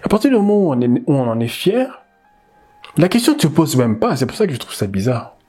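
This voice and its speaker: A man from the DR Congo, a language teacher, giving animated, emphatic commentary and repeating words as he thinks aloud. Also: on the high side, a little fast, soft background music. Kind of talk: casual commentary video.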